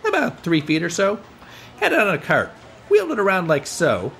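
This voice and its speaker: An actor playing a brusque, slangy working-class catering worker in a parody of old-time radio drama. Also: male voice, sits high, wildly swooping pitch, normal speed, creaky, fairly bright tone, slight background noise, full character voice. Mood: offhand. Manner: blunt, slangy, folksy. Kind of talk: parody 1940s radio acting.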